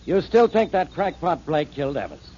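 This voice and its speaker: Casting: a dismissive, gruff police inspector, mid-pitched, on dull old radio audio. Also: male voice, sing-song, moderate pace, darkish tone, a little hiss or hum. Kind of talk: 1940s radio acting. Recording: muffled old radio broadcast.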